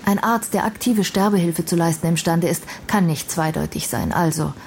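A woman's voice: lowish voice, moderate pace, vocal fry, a little mellow, a little hiss or hum. An actress playing a blunt, earnest young intellectual woman in a radio crime drama, speaking precisely and formally. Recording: music playing behind the voice.

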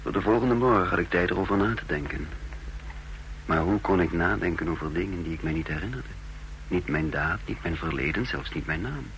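Deep, gravelly, brooding prisoner. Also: male voice, lively intonation, fairly bright tone, poor audio. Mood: bleak, dazed. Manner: halting, weary, brooding. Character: dazed, brooding condemned prisoner telling his own story. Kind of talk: dramatic first-person radio play narration. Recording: old radio recording with faint hum.

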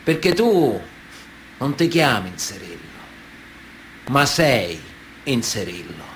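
Sicilian mafia boss, stern n threatening, repeats himself, radio play, bit of background noise. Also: male voice, mid-range voice, very animated, a bit bright. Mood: cold menace. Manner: deliberate, blunt, repetitive phrasing. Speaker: stage and radio actor. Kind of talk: dramatic radio theatre monologue.

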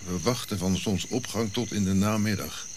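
A reflective African prince played by a man tells of his royal past in a radio play. His voice is on the low side, his phrasing eloquent and measured, with pauses. Crickets and clear background noise sit behind him. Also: lightly acted. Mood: stately, proud. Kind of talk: audio drama narrative monologue.

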